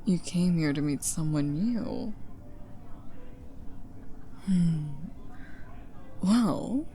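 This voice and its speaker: A woman's voice: on the low side, animated, warm tone, slight background noise, full character voice. A woman playing a flirty, charming stranger at a party in an intimate ASMR romance roleplay, with a teasing, coaxing delivery. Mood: flirty, inviting. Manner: teasing, coaxing, unhurried, often drops to a whisper.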